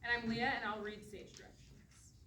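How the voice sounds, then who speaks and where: A woman's voice: on the high side, flat delivery, moderate pace, pushed and bright. Distant woman reading stage directions. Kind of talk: scripted narration. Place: studio theatre. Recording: distant, roomy theatre recording, faint noise.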